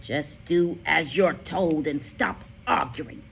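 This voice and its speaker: A woman's voice: on the high side, big pitch swings, normal speed, fairly bright tone, slight background noise, voice pushed up. A woman voicing an impatient, bullying middle-aged father who snaps and barks orders. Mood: angry barking. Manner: barking, snapping orders.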